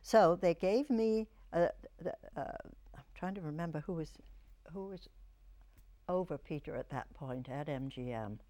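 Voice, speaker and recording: A woman's voice: low voice, mellow. Older lady actress telling old stories, stops and starts, kinda thoughtful. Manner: reflective storyteller, halting. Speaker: actress, interview guest. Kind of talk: reminiscing interview answers.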